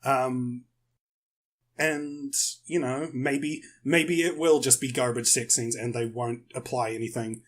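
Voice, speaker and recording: A man's voice: mid-range voice, fairly even intonation, slow. A man giving his opinion bluntly and informally in a casual podcast discussion.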